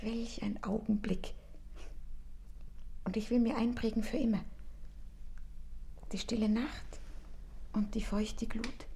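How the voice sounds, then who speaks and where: Casting: devoted female lover for radio drama, slightly high but husky voice, hushed intimacy. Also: heavily acted. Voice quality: husky, breathy. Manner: intimate, earnest, often near-whispered. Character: tender, devoted young woman in love. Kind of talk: radio play acting.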